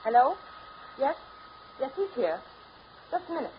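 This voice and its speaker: Curious, attentive companion, animated pitch, 1930s radio drama with muffled sound. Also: female voice, normal speed. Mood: calm, attentive. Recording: muffled noisy 1939 radio broadcast.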